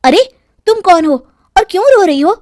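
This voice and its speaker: A woman's voice: very high-pitched, expressive, normal speed, a little mellow, dry recording, studio quality, pitched above the performer's own voice. A woman voicing a kind, innocent young village woman, earnest and concerned, in a cartoon story. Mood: curious, concerned.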